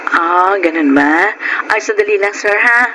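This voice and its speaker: A woman's voice: mid-range voice, a little slow, background noise, lightly acted. An actress with a Filipino accent playing a kind, reassuring woman in an emotional radio drama. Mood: friendly, polite. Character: kind, reassuring woman, schoolteacher and farmer's wife. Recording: loud dramatic music bed. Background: loud music.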